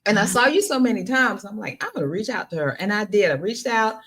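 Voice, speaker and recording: A woman with a Southern American accent hosting a podcast interview, chatty and animated with a folksy way of talking. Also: mid-range voice, fast. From Southern United States. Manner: chatty and animated, folksy with y'all, laughs easily. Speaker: podcast host. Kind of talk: casual interview chat.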